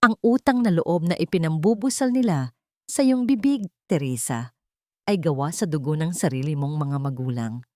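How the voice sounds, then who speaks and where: A woman's voice: low voice, moderate pace, dark tone, dry recording, clean studio sound, lightly acted. A woman with a Filipino accent voicing a frightened, sickly old man with grim secrets in an audiobook drama.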